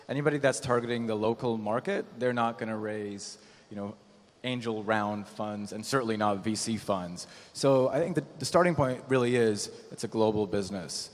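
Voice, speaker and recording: A man speaking as an angel investor on a conference panel, explaining his points in a measured way with frequent "you know". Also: mid-range voice. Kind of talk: panel discussion, explanatory talk. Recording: echoey hall with long reverb.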